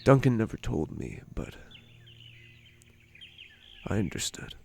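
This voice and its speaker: A reflective inventor, played by a man, recalls the past in a quiet, slow narration. His voice is very deep and gravelly, rising and falling widely. Soft music runs underneath. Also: very animated, exaggerated voice. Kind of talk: fan audio drama narration.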